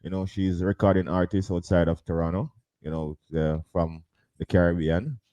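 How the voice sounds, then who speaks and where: A man's voice: deep voice, moderate pace, dark tone, muffled recording. A man hosting a casual interview, repeating words and filling with "you know" as he asks questions. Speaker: interview host.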